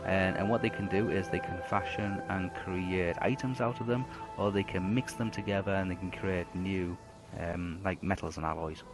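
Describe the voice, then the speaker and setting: A man with a very low voice running a tabletop role-playing game. He describes the scene in a halting, unscripted way, full of ums and restarts, with faint music playing underneath. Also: fairly even intonation. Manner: halting, lots of ums and repeated words. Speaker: game master.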